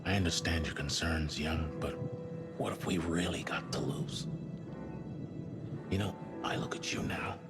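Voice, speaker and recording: An actor playing a middle-aged veteran astronaut, a reflective family friend, speaking gently in a hushed, gravelly voice in an intimate game scene. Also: male voice, rumbling low, fairly even intonation, a little slow, long pauses, loud music behind the voice. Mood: gentle, wistful. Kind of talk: cinematic game dialogue, intimate.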